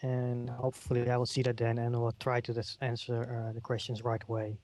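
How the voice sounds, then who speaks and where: A man's voice: mid-range voice, completely flat delivery, a little fast, darkish tone. A middle-aged man from the Netherlands with a Dutch accent, giving a conference keynote with many ums and uhs. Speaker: keynote speaker. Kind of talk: conference keynote in a virtual world.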